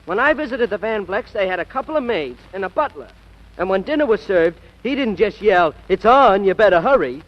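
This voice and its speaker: An actor playing an excitable, status-conscious young college man, boyish and easily flustered, in 1940s radio style. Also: high-pitched, brisk. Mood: exasperated. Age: young adult. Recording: dull old radio broadcast recording.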